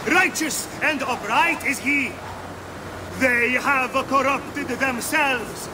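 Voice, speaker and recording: An actor playing a fiery, fearful town priest in a video game, declaiming grand warnings and scripture. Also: male voice, very high-pitched, background noise. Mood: exalted preaching. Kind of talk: dramatic sermon, video game acting.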